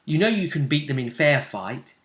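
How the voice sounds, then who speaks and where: A man working as game master, casually recapping a tabletop role-playing session with animated, emphatic storytelling in a roomy space. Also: on the high side, moderate pace. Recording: roomy, echoey sound.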